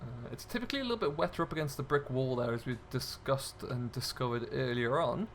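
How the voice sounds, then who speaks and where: A male gaming host with a mid-pitched voice talks casually through what he is doing at the wheel. His commentary is chatty and loose, in a role-play style. Faint vehicle noise and ambience sit behind his voice. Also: quick pace.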